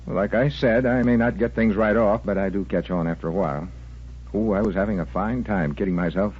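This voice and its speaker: An actor playing a blunt, halting, self-deprecating veteran in a 1940s-style radio soap. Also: male voice, lowish voice, slightly rough. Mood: resentful. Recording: muffled vintage radio drama recording.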